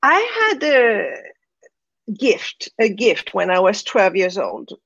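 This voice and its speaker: A woman's voice: medium pitch, huge pitch swings, takes it slowly, gravelly, fairly bright tone, slightly rough, no room sound, dull audio. A woman with a French accent, speaking reflectively as a guest in an interview and often checking in with "right?". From France, raised in Morocco. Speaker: guest, transformation coach.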